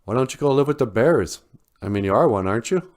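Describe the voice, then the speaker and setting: A man's voice: medium pitch, animated, fast, darkish tone, lightly acted. A man playing a sly, teasing male fox in a children's tale, with slangy, mocking, casual talk. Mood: teasing, persuasive.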